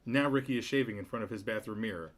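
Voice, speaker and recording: A man's voice: fairly low pitch, quick pace. A man hosting a table read, introducing the cast and reading stage directions in a matter-of-fact way. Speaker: host and narrator of a table read.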